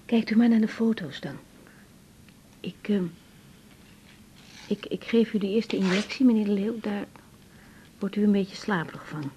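An actress playing a compassionate, troubled young woman doctor in a radio drama, speaking in a hushed, hesitant, tender manner. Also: unhurried, pauses often, close to an everyday voice. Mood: tender, tense. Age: young adult. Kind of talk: naturalistic 1980s radio drama. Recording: dull, muffled tape with faint rumble.

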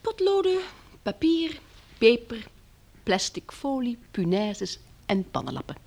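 Een vrouw die in een hoorspel een speelse, zacht vasthoudende echtgenote speelt, op een lichte, plagende toon. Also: female voice, a little high, huge pitch swings, measured pace, vocal fry, darkish tone, exaggerated voice. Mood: playful. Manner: light, teasing. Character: playful, gently determined wife of a weary survivor. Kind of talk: radio drama acting.

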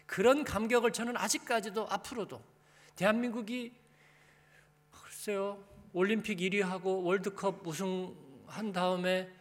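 A man with a high-pitched voice preaches in a large hall with long reverb. He speaks as a pastor in a conversational manner, putting rhetorical questions to the congregation and prompting them with short tag questions.

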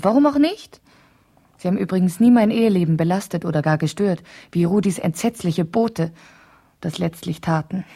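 A woman's voice: lowish voice, mellow. An actress playing a vain, chatty and easily indignant wife in a 1970s German radio play, digressing as she justifies herself. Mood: chatty, self-satisfied.

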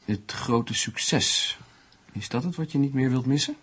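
A man's voice: medium pitch, animated, measured pace, a bit bright, slightly rough, full character voice. An actor in a radio play playing a teasing, hard-drinking newspaperman with a pompous, mock-poetic way of talking. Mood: jovial, needling.

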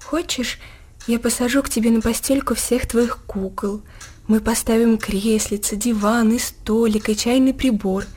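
A woman's voice: on the high side, fairly even intonation, moderate pace, darkish tone, breathy edge. An actress playing a worried, tender mother of a sick child in a radio drama, coaxing and sorrowful.

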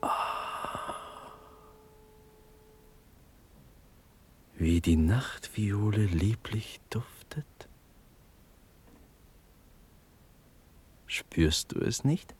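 An actor playing a dreamy, ardent young prince in a German classical radio drama, speaking in hushed, halting, breathy verse with sudden swells. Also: male voice, on the low side, lively intonation, in a whisper, exaggerated voice. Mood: tender, wondering.

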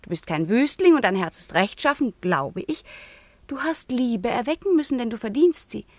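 A proud young noblewoman in a radio drama. Her voice is fairly high and dryly recorded, earnest and composed as she probes. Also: expressive, moderate pace, no echo. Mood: earnest probing. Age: young adult. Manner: probing, composed, direct. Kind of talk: theatrical radio play acting.

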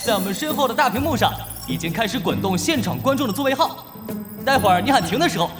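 A man's voice: high-pitched, lively intonation, fast talker. A man playing a flustered young event host in a radio drama, polite and coaxing, with hesitant announcing. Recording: echoey arena reverb over loud music.

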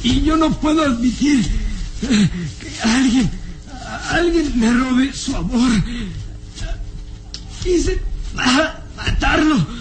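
An actor playing a submissive, jealous, lovesick man, speaking haltingly and with anguish in an old radio serial. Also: very high-pitched, heavily acted, rough recording. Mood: tormented, jealous. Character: cowering, obsessively jealous servile man, lovesick suitor. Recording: vintage radio serial with music behind.

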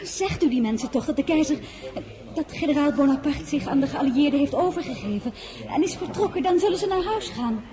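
An actress playing an earnest, emotional young crown princess in a radio play, speaking softly and wistfully, then urgently. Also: female voice, high-pitched, animated, brisk, crowd noise. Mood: agitated. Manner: soft and wistful, turning urgent and pleading. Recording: noisy old radio play recording.

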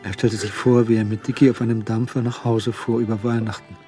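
A man narrating a crime radio drama in a sober, literary manner with measured, clipped phrasing. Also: lowish voice, flat delivery, dark tone, faint music behind, lightly acted. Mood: reflective.

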